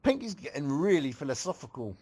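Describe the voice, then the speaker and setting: A man's voice: on the high side, sing-song, fairly quick, darkish tone. A man reading a story aloud as an amateur reader for a comedy group, with casual asides.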